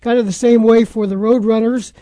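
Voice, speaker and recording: A man with a soft-edged, dark timbre hosts a sports talk radio interview. The sound is dry, with very little room around his voice. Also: very high-pitched, steady tone, a little fast, no echo.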